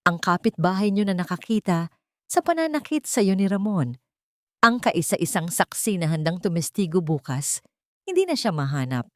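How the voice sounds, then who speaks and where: A woman's voice: fairly low pitch, big pitch swings, moderate pace, no room sound, studio quality. Woman acting an anxious, grave male lawyer. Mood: grave worry. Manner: hushed, grave. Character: anxious lawyer bringing grave news to his client.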